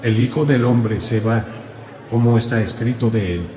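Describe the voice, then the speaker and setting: A man voicing a calm, grave male teacher in a dramatized gospel reading, speaking in a solemn, measured way. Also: medium pitch, echoey room, big-room sound, lightly acted.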